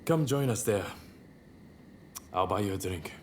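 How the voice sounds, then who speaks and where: An actor voicing a cocky, nonchalant samurai rebel in a video game, sardonic and playfully teasing, with drawn-out delivery. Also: male voice, lowish voice, lively intonation, a little hiss or hum. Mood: coolly playful. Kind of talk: dramatic video game voice acting.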